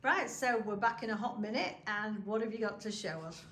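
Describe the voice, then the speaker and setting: A woman's voice: medium pitch, a little fast, a bit bright. An English woman chatting casually as co-presenter, colloquial and prompting with questions. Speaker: co-presenter chatting from behind the camera. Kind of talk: casual chat. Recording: roomy, distant mic with faint noise.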